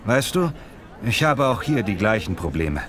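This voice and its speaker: A man's voice: lowish voice, sing-song, normal speed, crowd noise. A man playing a lazy, muscle-proud Italian language learner in a scripted lesson dialogue, speaking in simple, complaining phrases. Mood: annoyed.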